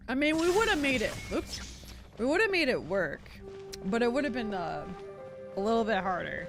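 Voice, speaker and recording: A woman giving casual gameplay commentary as a streamer, thinking out loud and often second-guessing herself in a giggly way. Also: fairly high pitch, big pitch swings, moderate pace. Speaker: game streamer. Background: game music and character voice lines.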